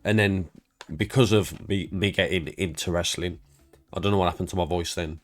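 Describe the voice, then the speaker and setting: A man's voice: sits low, steady tone, moderate pace, soft background music. A man with a congested, stuffed-up voice, rambling casually and self-deprecatingly as he walks through his game collection for his channel. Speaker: YouTube creator.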